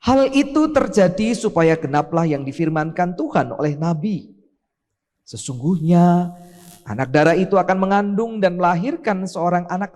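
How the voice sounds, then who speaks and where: A man's voice: on the high side, a little mellow. A man leading an online church service, praying solemnly and then reading scripture aloud, lifting his voice on key words. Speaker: pastor leading worship. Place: church sanctuary. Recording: church hall reverb.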